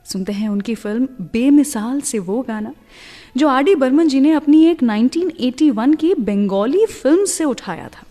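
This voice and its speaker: Higher-voiced woman radio host, reverberant. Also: animated, normal speed, warm tone. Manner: friendly, chatty delivery with English words mixed in. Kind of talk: scripted radio presenting.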